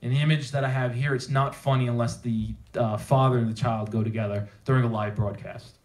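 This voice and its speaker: A man with a mid-pitched voice presents as a conference speaker. He talks informally and drives his points home with emphasis, often posing rhetorical questions to his audience. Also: steady tone, a little fast, darkish tone. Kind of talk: technical presentation to a live audience. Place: theater at a developer meetup.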